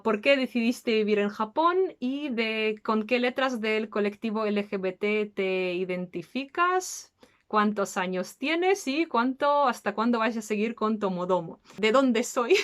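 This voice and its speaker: A woman's voice: on the high side, a bit bright. A woman in her early thirties, a native Russian speaker with a slight Russian accent, chatting casually as she answers questions as a channel host. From Belarus, later raised in Spain. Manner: chatty, breaks into laughs.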